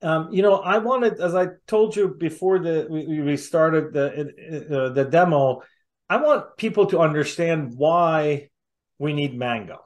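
A middle-aged man hosts a casual interview over a video call. His voice sits a little high, and he talks haltingly, doubling back on words as he builds his point. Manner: halting, repeating words as he goes. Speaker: interviewer, host.

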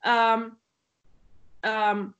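A German-accented woman picks her words with great care on a video call from home, pausing often and restarting. Her voice sits a little high and moves at a very unhurried crawl. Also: flat delivery, a bit bright, highs cut off. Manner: halting, many filler sounds and restarts. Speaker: language learner. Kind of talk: Russian conversation practice.

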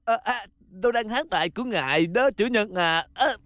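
A nervous robot sidekick in a cartoon dub. The voice is extremely high and dry, with a stammering, servile, goofy manner. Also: male voice, lively intonation, normal speed, fairly bright tone, no room sound. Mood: fawning. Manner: stammering, obsequious.

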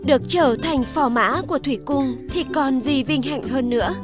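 A woman voicing a stately, benevolent sea king in a cartoon folktale, formal and measured. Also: high voice, over music, close to an everyday voice. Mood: gracious.

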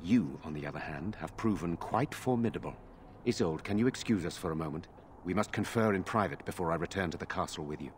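A resolute, dutiful lord, acted by a man in a fantasy video game. His voice is on the low side. He speaks formally and earnestly, in measured phrases. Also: slight background noise, lightly acted. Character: resolute, dutiful nobleman, self-sacrificing brother. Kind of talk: dramatic video game dialogue.

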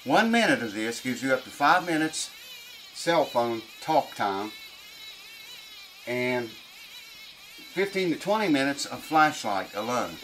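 A middle-aged man giving a casual hands-on review to camera, informal and unhurried, thinking aloud as he goes. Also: medium pitch, very animated, fairly bright tone, slight background noise. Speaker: product reviewer. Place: small cluttered indoor workshop room.

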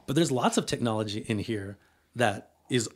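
Guest poet, male, late 30s, from El Paso: mid-range voice, excitable, filler-heavy chat. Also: mid-range voice, moderate pace, slightly rough. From El Paso, Texas, US. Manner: energetic, filler-heavy, keeps adding "right?". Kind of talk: casual interview conversation.

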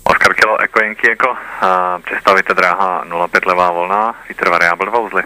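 A man's voice: low-pitched, fairly even intonation, background noise. A man working as an airfield radio operator, giving procedural instructions with some hesitation over an aviation radio link. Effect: radio transmission. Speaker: airfield radio information officer.

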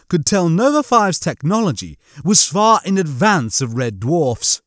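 Animated male narrator, slightly high voice, scripted comic sci-fi storytelling, close dry recording. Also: no echo. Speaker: audiobook narrator.

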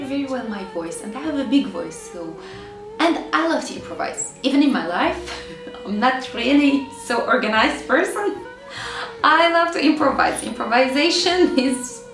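A woman from Syria in her thirties, speaking English in a hesitant, reflective way, pausing and repeating words as she searches for them. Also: mid-range voice. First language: Arabic. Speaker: singer. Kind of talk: reflective interview answers. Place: bright living room, seated on a sofa. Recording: roomy, distant, music behind.

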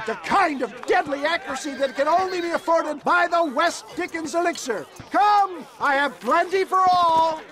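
High-pitched old snake-oil showman. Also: lively intonation, moderate pace, hardly any pauses, a crowd in the background. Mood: triumphant. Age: older. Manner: florid, theatrical, proclaiming. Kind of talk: video game western acting.

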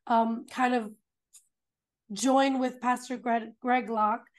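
Woman in her 30s hosting an interview from home, a bit high voice, upbeat and excited. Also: slow. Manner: warm, upbeat, enthusiastic. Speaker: host. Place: home room.

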